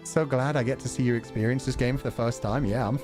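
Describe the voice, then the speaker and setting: A man's voice: medium pitch, steady tone, fast, over music. A man livestreaming and chatting casually with viewers in a wry, sarcastic way, swearing now and then.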